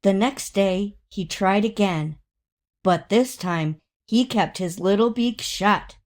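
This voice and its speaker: A woman reading a children's story aloud in an expressive, dramatic way, drawing out words for emphasis. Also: mid-range voice. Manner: dramatic, with drawn-out emphasis and acted sound words. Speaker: storybook reader.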